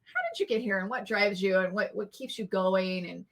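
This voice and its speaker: Bright, gravelly-voiced woman host. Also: mid-range voice, fairly quick. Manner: friendly, chatty, many fillers and restarts. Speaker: podcast host. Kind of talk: casual interview chat.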